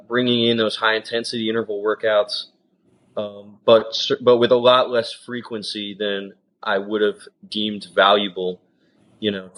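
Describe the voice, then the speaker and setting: A man's voice: on the low side, a bit bright, rough recording. A man from the United States, a coxswain and coach, answering interview questions in a thoughtful, casual way with lots of "you know" and "um". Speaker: rowing guest, coxswain and coach.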